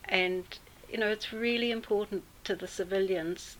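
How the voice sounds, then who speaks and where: A woman with a New Zealand accent telling a personal story in an interview, unhurried and full of pauses. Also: mid-range voice, steady tone, slight background noise, low-quality recording. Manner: unhurried, with many fillers and pauses. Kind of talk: casual storytelling.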